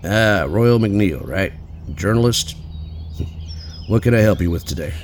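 A smug, crude cop acted out by a man in an audio drama, cocky and offhand. His voice is low and creaky with lively pitch swings, over faint outdoor ambience. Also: measured pace, background noise. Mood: dismissive. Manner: casual, cocky, brushing people off. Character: smug, crude, dismissive male police detective.

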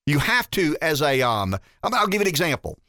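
A man's voice: a little high, quick pace, dry recording, studio quality. A man in his fifties hosting a talk radio show, musing aloud and asking rhetorical questions. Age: 50s. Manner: animated, rambling, rhetorical questions.

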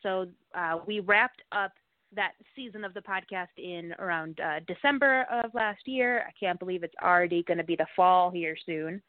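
A woman's voice: lowish voice, normal speed, no room sound. A woman podcaster being interviewed, explaining things in a casual, conversational way. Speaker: podcast host, interview guest. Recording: dry, narrow phone-line audio.

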